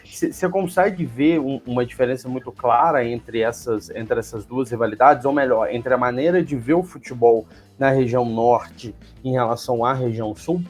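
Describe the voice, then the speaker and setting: A man's voice: medium pitch, normal speed, few pauses, darkish tone, faint music behind. A man with a Brazilian accent hosting a casual sports interview, asking questions in an informal, conversational way. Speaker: interviewer and host.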